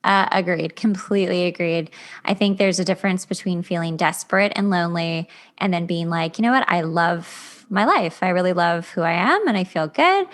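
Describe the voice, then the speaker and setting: A woman's voice: on the low side, normal speed. A woman hosting a podcast, speaking in a casual, conversational interview style. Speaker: podcast host.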